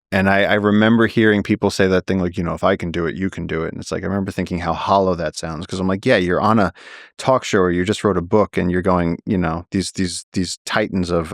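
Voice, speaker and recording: A man's voice: sits low, dry recording, clean studio sound. A man speaking as an interview guest, telling his story earnestly and with animation, often restarting his sentences. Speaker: guest, naturalist and explorer. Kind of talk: conversational storytelling.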